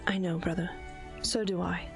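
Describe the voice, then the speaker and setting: Audio drama princess, voiced by a woman: protective big sister, composed and comforting, formal wording, soft music bed. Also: lowish voice, level tone, gravelly. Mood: calm, reassuring. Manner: soothing, measured, formal. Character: caring, dutiful princess, protective older sister.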